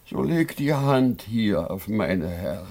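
Very slow, sly fake invalid. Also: male voice, on the low side, big pitch swings, creaky, warm tone, full character voice. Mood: coaxing. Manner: drawn-out, theatrical, mock-feeble. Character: sly rich schemer feigning sickness, wooing, plaintive. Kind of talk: classic German radio play acting.

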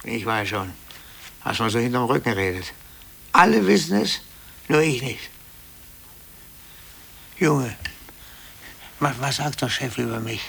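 Gravelly, agitated downtrodden office clerk. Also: male voice, mid-range voice, animated, measured pace, long pauses, a bit bright, heavily acted. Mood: suspicious, agitated. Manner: agitated, stammering, swinging between bluster and cowering. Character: downtrodden, timid, blustering office clerk, male. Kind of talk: 1950s German radio drama acting. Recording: 1950s radio play from cassette.

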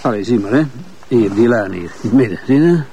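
An actor playing a testy, pedantic forensic investigator with a Flemish accent in a radio crime drama. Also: male voice, medium pitch, lively intonation, brisk, warm tone, highs cut off, a little hiss or hum, natural voice. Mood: testy, explaining.

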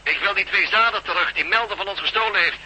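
Sharp, mocking villain sheriff. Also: male voice, high-pitched, quick pace, rough recording. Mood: threatening, commanding. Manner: mockingly polite, threatening. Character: smug, mocking male villain, a sheriff holding a hostage. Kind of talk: radio drama acting.

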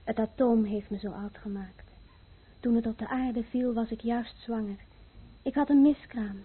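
An actress playing a grieving fisherman's wife, aged before her time, husky and breathy in a solemn radio play. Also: on the high side, fairly even intonation, normal speed. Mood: hushed grief. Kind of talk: solemn radio drama acting. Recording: muffled old radio play recording.

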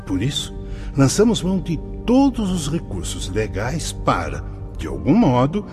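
A man's voice: medium pitch, wildly swooping pitch. An actor in a radio drama playing a kindly, solemn spirit mentor who speaks in a formal, fatherly, preaching way. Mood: tender, fervent. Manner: measured, paternal, preacherly phrasing. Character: kindly solemn spirit mentor, brother Anselmo, paternal guide. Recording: music behind the voice.

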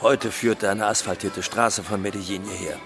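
An actor playing a self-assured drug lord in a German radio drama, speaking in a casual, measured way. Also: male voice, on the low side, steady tone, vocal fry, music in the background. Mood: nonchalant, proud. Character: self-assured male drug lord recounting his estate.

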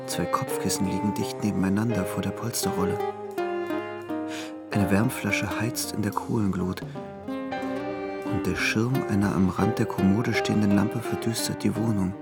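A man's voice: on the low side, lively intonation, moderate pace, vocal fry, loud music behind the voice. An actor playing a dreamy, self-absorbed young man from the provinces in a German radio drama, theatrical and brooding. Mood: brooding, disappointed. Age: young adult.

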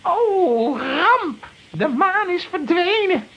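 A woman playing a solemn, commanding, excitable witch leader in a children's radio play. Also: extremely high pitch, extremely slow, highs cut off, exaggerated voice. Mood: dismayed. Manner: ceremonial, commanding, exclamatory.

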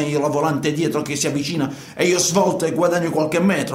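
An actor playing a man who tells his own night-driving story in a halting, repetitive, colloquial radio monologue. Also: high voice, close to an everyday voice. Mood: frantic, shouting. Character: agitated male night driver telling his own story. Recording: roomy, echoing sound.

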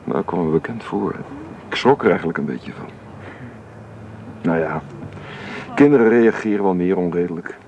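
A man's voice: sits low, animated, normal speed, long pauses, a little mellow, dull audio. A middle-aged man playing a wistful, affectionate father in a naturalistic radio drama, searching for his words and repeating them. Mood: quiet, concerned. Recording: noisy radio play recording.

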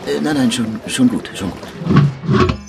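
A man's voice: medium pitch, takes it slowly, creaky, over loud music. An actor playing a dogged male police detective in a radio crime drama, probing and dryly ironic. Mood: urgent. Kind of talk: radio play dialogue.

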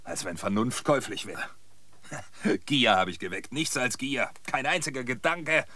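An older actor playing a weary, mocking old fraud in a radio drama, halting and given to derisive laughter. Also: male voice, medium pitch, bright, forceful tone, no room sound, close to an everyday voice. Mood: agitated, bitter. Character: bitter, mocking old man, weary self-confessed fraud. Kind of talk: German radio drama acting.

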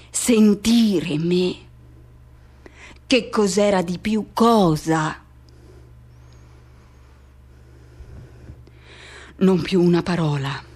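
A woman performing a radio theatre monologue as an anguished, pleading lover recalling a forbidden teenage love, in urgent, halting phrases that insistently repeat. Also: lowish voice, a little mellow. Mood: hushed, haunted.

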